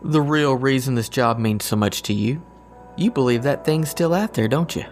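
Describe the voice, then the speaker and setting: Man acting lively, folksy good-natured agent sidekick. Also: mid-range voice, moderate pace, faint music behind, natural voice. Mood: joking, easygoing. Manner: folksy, warm-hearted, joking. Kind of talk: audio drama acting.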